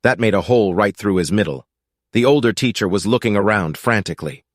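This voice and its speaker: A man's voice: fairly low pitch, animated, a little fast, no room sound, studio quality. A man reading scripted fiction as an audiobook narrator.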